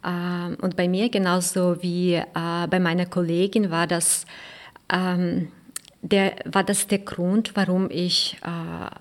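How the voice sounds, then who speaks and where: A woman with a Ukrainian accent co-hosting a podcast, speaking in a measured way with filler sounds. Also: on the low side, level tone. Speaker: podcast co-host, translation student. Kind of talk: semi-scripted podcast talk.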